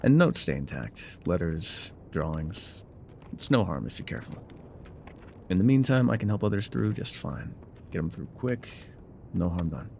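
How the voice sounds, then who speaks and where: A man playing a laconic, weathered frontier guide in a calm, haunted, musing ASMR roleplay, with a low gravelly voice and folksy pauses. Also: a little hiss or hum, heavily acted. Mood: wistful, haunted. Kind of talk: immersive ASMR roleplay monologue.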